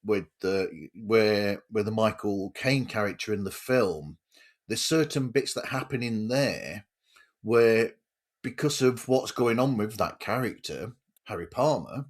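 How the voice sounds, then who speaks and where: Casual male interviewer for a podcast, unhurried delivery, somewhat hesitant phrasing. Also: lowish voice. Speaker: podcast host interviewing a guest.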